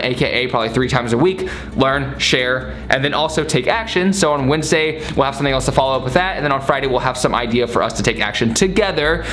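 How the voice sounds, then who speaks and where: A man's voice: mid-range voice, a bit bright. A man in his twenties talking casually and energetically to the camera in a small home studio, gesturing as he speaks. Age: 20s. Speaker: vlogger. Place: small bedroom studio, indoors.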